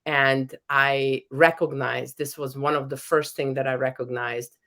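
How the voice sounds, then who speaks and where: A woman's voice: very deep voice, steady tone, a little slow, a bit bright. A middle-aged woman from Hungary with a Hungarian accent, a professor and author talking earnestly as a podcast guest, often restarting her sentences. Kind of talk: podcast interview.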